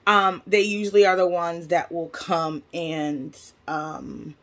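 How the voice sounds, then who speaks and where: A woman's voice: on the low side, takes it slowly. American woman telling a casual, slangy story. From United States. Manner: animated, slangy, conversational, acts out reported dialogue. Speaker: vlogger.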